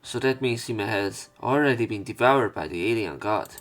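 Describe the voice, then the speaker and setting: A man narrating a visual novel let's play, reading the text aloud in a measured way with some stumbles. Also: on the low side, a bit bright, soft background music. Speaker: let's player.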